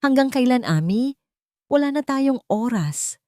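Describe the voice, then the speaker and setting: A woman's voice: high-pitched, lively intonation, a little slow, darkish tone, no echo, voice pushed up. Woman plays Filipino husband in romance story: imploring, manipulative, impatient underneath, dry sound. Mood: frustrated. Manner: pleading, emotional.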